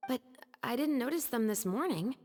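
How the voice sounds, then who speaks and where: A woman voicing a calm, observant, protective older sister in a video game, earnest and direct. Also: fairly high pitch, moderate pace, big-room sound, faint music behind. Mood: concerned.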